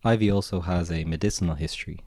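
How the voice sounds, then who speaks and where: A man with an Irish accent hosting a podcast, raspy and hoarse from a cold, talking in a relaxed, meandering way with lots of filler words. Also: sits low, quick pace, no echo, studio quality. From Ireland. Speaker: podcast host and gardener. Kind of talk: casual spoken monologue.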